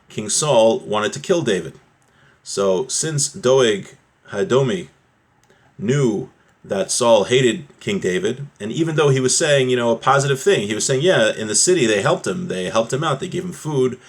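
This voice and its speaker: A man's voice: medium pitch, expressive, normal speed. A man teaching an informal religious class, reading out phrases from a text and explaining them step by step, often rephrasing and posing questions that he answers himself. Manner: explanatory, repeats and rephrases points, asks and answers own questions.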